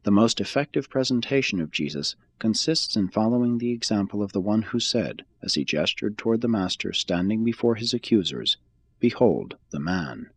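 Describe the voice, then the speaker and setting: A man reading a religious text aloud as an audiobook, in a measured, solemn and formal manner. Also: on the low side, lively intonation, breathy edge, no echo. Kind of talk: scripted read-aloud, audiobook narration.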